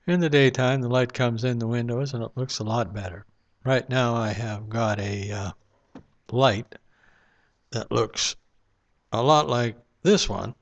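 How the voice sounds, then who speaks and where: A man in a casual, reflective monologue to camera at home at night, rambling with lots of fillers. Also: medium pitch. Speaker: vlogger. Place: home room at night, lamp-lit.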